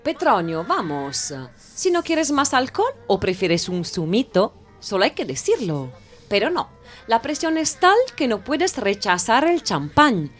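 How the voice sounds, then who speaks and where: Woman as a lively, expressive storytelling narrator. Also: fairly high pitch, measured pace. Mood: wry narrating. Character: wry, excitable female narrator of a racy tale. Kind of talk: dramatised storytelling narration, commentary-like. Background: music.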